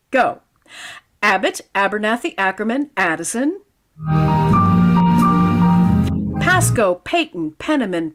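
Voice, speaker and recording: A woman playing a wry, theatrical schoolteacher who declaims and exclaims in an old-time radio comedy. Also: mid-range voice. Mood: playful hostess. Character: theatrical, wry schoolteacher, eager party performer and storyteller. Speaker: lead actor in a radio comedy. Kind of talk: 1940s-50s radio comedy acting.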